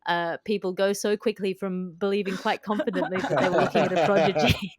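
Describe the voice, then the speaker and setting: A woman's voice: medium pitch, fairly even intonation, a little fast. A woman hosting a comedy news podcast, bantering in wry, wordy, mock-grand phrases. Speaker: podcast host.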